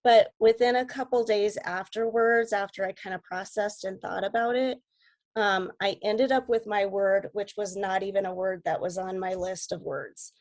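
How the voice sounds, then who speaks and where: A woman with a slightly raspy voice from a cold, talking casually and earnestly as a coach on a video call. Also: medium pitch, steady tone, normal speed, darkish tone. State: sick with a cold. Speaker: guest coach.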